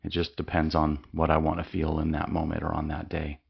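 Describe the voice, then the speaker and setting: A man leading a guided mindfulness meditation with slow, calm, soothing instructions and long pauses. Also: extremely low pitch, gravelly, breathy edge, highs cut off.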